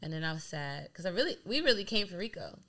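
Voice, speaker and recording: A woman's voice: fairly low pitch, quick pace. A young woman from Delaware, a DJ, chatting casually in an interview, rambling with lots of "like". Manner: rambling, heavy use of "like", animated. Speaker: DJ and guest.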